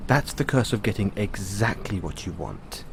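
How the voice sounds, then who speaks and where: A man playing a thoughtful, kindly owner of an android in an audio roleplay, musing and explaining things to the listener in a measured way. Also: fairly low pitch, lively intonation, vocal fry, noisy background. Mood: musing, earnest.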